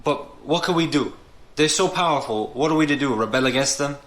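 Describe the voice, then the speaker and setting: A man's voice: mid-range voice, brisk, fairly bright tone, close to an everyday voice. A man playing an anxious, resentful commoner father in an audio drama, earnest and worried. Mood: indignant, helpless.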